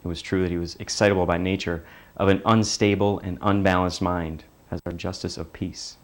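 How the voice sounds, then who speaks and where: A man's voice: low voice, level tone, fairly quick, natural voice. A man reading literary narration aloud as an audition piece. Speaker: actor auditioning.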